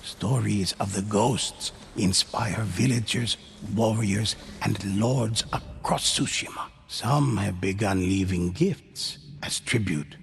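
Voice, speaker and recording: A slow, gravelly legend-teller. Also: male voice, lowish voice, slight background noise. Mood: solemn. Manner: slow, grave, measured delivery. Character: solemn male storyteller narrating legends. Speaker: narrator. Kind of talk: scripted game narration. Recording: game audio with loud music.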